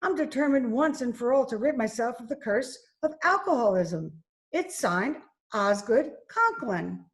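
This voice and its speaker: A woman's voice: on the high side, expressive, measured pace. A woman playing a formal, insistent businesswoman and mother, speaking precisely in an old-time radio comedy.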